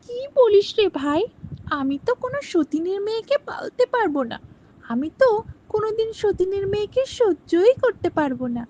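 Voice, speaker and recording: A woman's voice: extremely high pitch, sing-song, normal speed, mellow. A woman voicing a poor young woman who becomes a harsh, spiteful stepmother in a cartoon. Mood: resentful. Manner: scolding, spiteful. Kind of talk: cartoon voice acting. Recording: dull lo-fi dry dubbing.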